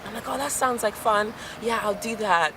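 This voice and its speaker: A woman's voice: mid-range voice, normal speed, gravelly. A young woman from Ghana, a drama student, chatting casually in a street interview, laughing often and trailing off. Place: outdoors on a busy city street. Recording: street traffic noise behind.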